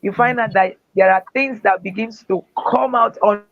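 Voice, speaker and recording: A woman's voice: medium pitch, moderate pace, no echo. A woman speaker and author giving earnest, faith-driven motivational answers in an interview. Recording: dull, dry lo-fi sound.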